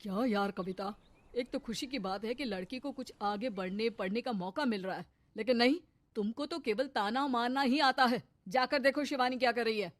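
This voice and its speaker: A woman's voice: on the high side, normal speed, natural voice. A woman voicing an exasperated middle-aged husband and father in a cartoon family drama, weary and chiding. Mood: reproachful. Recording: faint background noise.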